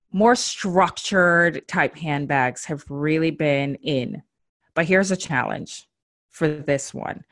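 A woman hosting a style and beauty podcast, giving chatty, encouraging tips with casual fillers. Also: sits low.

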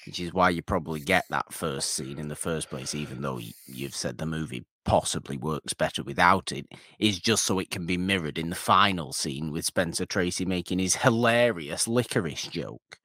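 A man's voice: deep voice, moderate pace, hardly any pauses, no room sound, studio quality. A man co-hosting a podcast, chatting casually and ramblingly about an old film, often breaking off mid-sentence. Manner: rambling, animated, self-interrupting. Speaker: podcast co-host.

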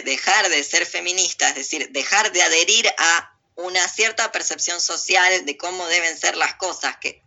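A woman's voice: low-pitched, bright, forceful tone. A woman with a Buenos Aires accent giving emphatic, sardonic, digressive political opinions as an interview guest on a muffled recording. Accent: Buenos Aires Argentine Spanish. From Argentina.

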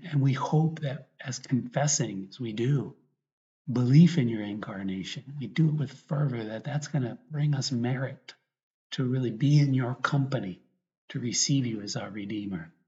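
A man, a priest, guiding a meditation and prayer in a reflective way, often pausing mid-thought. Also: mid-range voice, darkish tone. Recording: dull, lo-fi recording.

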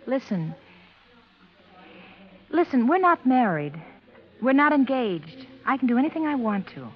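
An American actress playing a flirtatious, self-willed party hostess in a 1950s radio drama. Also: female voice, high voice, sing-song, a little slow. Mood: defiant. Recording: dull, lo-fi old radio recording.

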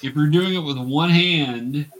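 A man's voice: fairly high pitch, a little slow. A man acting as game master, patiently explaining rules and narrating a tabletop fantasy game.

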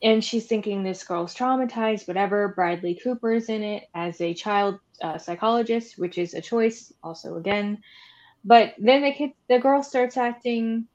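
The audio is a little dull and lo-fi. A woman with a mid-pitched voice co-hosts a casual podcast, talking in an informal, chatty way and tossing in wry, opinionated asides. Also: moderate pace, darkish tone. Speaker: podcast co-host. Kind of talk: casual chat about horror films.

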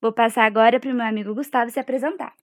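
Young woman introducing herself on a podcast, relaxed and chatty, kinda high voice, dry. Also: rapid-fire, no room sound. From Brasília, Brazil. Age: young adult. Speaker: podcast researcher.

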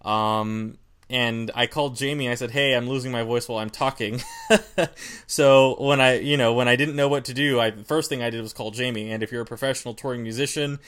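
A man co-hosting a podcast on singing, explaining in a relaxed, rambling way. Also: mid-range voice, a little fast, clear, resonant tone. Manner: relaxed, rambling, many fillers and restarts. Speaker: podcast co-host, singer. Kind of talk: casual podcast chat.

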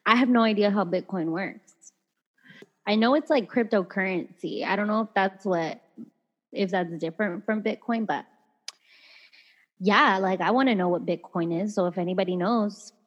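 A woman's voice: mid-range voice, normal speed. A young woman co-hosting a casual podcast, reading out listener messages and chatting, with frequent laughs and fillers. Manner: giggly, chatty, many fillers. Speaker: podcast co-host. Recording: dull lo-fi audio with long reverb.

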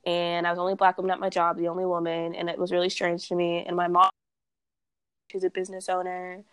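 A woman's voice: fairly low pitch, completely flat delivery, quick pace, smooth, dry recording, clean studio sound. A young woman from Texas, a guest on a podcast, chatting casually about her brand, laughing often and saying "like" a lot. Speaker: guest, cannabis brand founder.

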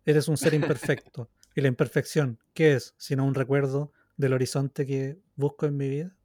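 A man's voice: medium pitch, little pitch movement, normal speed, a little mellow, no echo, clean studio sound. A man in his thirties with a Chilean accent, co-hosting a casual podcast in a rambling, self-mocking, repetitive way. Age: 30s. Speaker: podcast co-host. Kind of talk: casual, joking chat.

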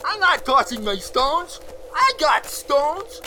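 A man's voice: very high voice, big pitch swings, takes it slowly, full character voice. A man playing a bumbling, easily confused young slacker in a comedic audio drama, slangy and stammering. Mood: defensive.

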